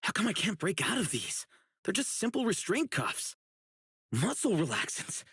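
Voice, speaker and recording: A man's voice: high-pitched, huge pitch swings, moderate pace, fairly bright tone, husky, no room sound, studio quality, heavily acted. An actor playing an earnest, righteous young male public security officer in a video game, speaking emphatically. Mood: earnest, indignant. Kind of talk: video game character voice acting.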